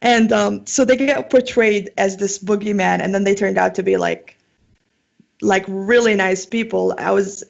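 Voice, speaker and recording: A young woman with a Syrian Arabic accent and a gravelly, creaky edge to her mid-pitched voice. She chats loosely as an interview guest, full of "like" and "um". The audio sounds dull and muffled. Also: fairly even intonation, measured pace. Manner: chatty, lots of "like" and "um". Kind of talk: casual interview talk.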